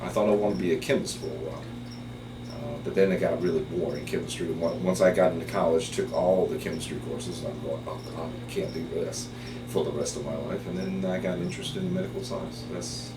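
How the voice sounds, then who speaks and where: A middle-aged professor from Taiwan, Chinese his first language, recounts his life in a hesitant, filler-laden way. His voice sits very low. The recording is echoey and distant, with a steady hiss. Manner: hesitant, many fillers, unhurried. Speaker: biology professor. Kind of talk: interview storytelling. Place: office. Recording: roomy, off-mic, with background hiss.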